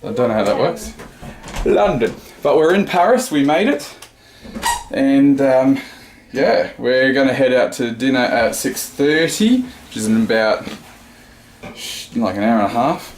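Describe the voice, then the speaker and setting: A man casually narrating his travel vlog into a handheld camera, relaxed and chatty, moving between buses, a ferry and a small room. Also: mid-range voice, a little slow. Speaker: vlogger. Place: on the move: bus, ferry, small hotel room. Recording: busy crowd and noise behind.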